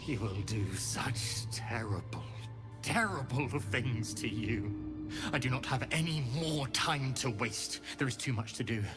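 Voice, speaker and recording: An actor playing a fretful, fanatically devoted servant of a vampire lord in a video game, flustered and ominous, prone to stammering. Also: male voice, mid-range voice, expressive, a little slow, music in the background, lightly acted. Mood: ominous, agitated. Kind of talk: dramatic video game dialogue.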